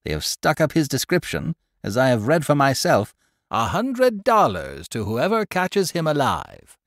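A man's voice: a little high, wildly swooping pitch, a little slow, no room sound, clean studio sound, pitched above the performer's own voice, exaggerated voice. A man voicing a stout, gossipy older woman shopkeeper in an audiobook, chattering in a breathless, exclamatory way. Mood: gossiping. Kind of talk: audiobook character reading.

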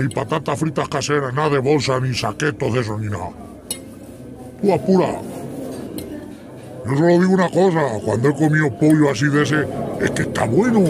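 A man's voice: medium pitch, noisy background. A man giving joking, teasing commentary while someone eats. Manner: joking, ribbing the eater.

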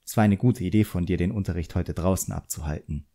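A man voicing a composed, quietly sharp female drawing teacher in an audiobook, instructive and measured. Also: low-pitched. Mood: calm, encouraging.

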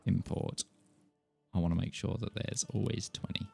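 A young Englishman with a mild Kentish lilt and a nasal tone talks in a lowish voice, rambling and joking about himself as he streams a game. He sits at a desk mic, and faint game music plays behind him. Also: steady tone, normal speed, gravelly, dark tone, husky. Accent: southern English, mild Kentish. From England. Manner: rambling, self-mocking, laughs often. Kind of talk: casual live-stream chat while playing. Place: indoor home room, gaming chair. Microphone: desk mic in front of him.

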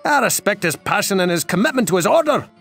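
High, gravelly, blunt village man. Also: very animated, fast, a bit bright, faint music behind, heavily acted. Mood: dismissive. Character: gruff, hearty, plain-spoken villager man. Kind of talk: video game character acting.

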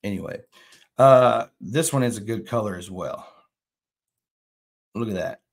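A man's voice: on the low side, unhurried, gravelly. A man, casually hosting a fishing live stream and reading viewer comments aloud from a small indoor room. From Texas. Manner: chatty, drawling, reads viewer comments aloud. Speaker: fishing channel host. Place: indoor room, plain wall.

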